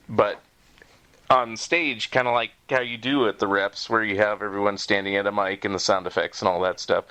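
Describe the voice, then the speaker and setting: A man's voice: fairly low pitch, sharp tone. A man hosting a podcast interview in casual, conversational talk, given to chuckling as he speaks.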